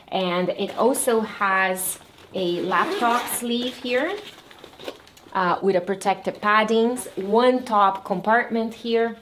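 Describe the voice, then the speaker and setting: A woman, walking through her gear in a casual vlog, careful and a little nervous. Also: medium pitch. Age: 40s. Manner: explains carefully, a bit nervous. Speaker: travel vlogger. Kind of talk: casual show-and-tell vlog.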